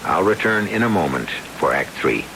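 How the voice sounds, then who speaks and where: An actor playing a suave, ominous mystery host, narrating old-time radio with deliberate, theatrical pauses. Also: male voice, sits low, animated, very noisy. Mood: ominous, knowing.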